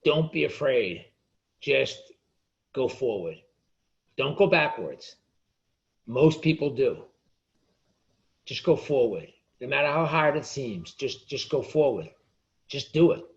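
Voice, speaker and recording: A man's voice: medium pitch, very animated, slow pace, vocal fry, pauses often, muffled recording. A man being interviewed as an author, speaking indignantly and often restarting his sentences. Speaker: author and guest. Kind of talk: interview conversation.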